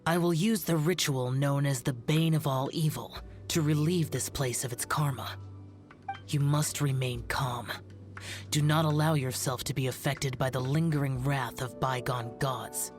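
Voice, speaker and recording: A man's voice: mid-range voice, steady tone, measured pace, natural voice. A male actor playing a stoic, youthful immortal demon-slayer in an anime video game, speaking in a grave, formal, aloof way. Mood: commanding. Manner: formal, grave, archaic phrasing. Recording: music behind the voice.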